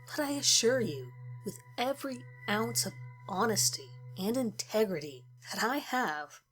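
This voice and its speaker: A woman reads a first-person horror story as narrator, in measured, deliberate phrases with pauses. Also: lowish voice, low-quality recording. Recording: music under the voice. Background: background music.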